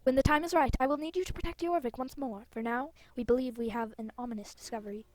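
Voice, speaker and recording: A woman playing a concerned leader of fantasy riders in a game, measured and formal with an ominous manner. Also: high voice, steady tone, a little mellow, lightly acted. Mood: grave, concerned.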